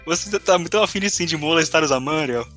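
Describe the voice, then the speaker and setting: Casting: Brazilian man, rapid delivery, sharp forceful tone, dry teasing co-host over soft music. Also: on the high side. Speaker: podcast member and player. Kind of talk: casual podcast chat, teasing interjections.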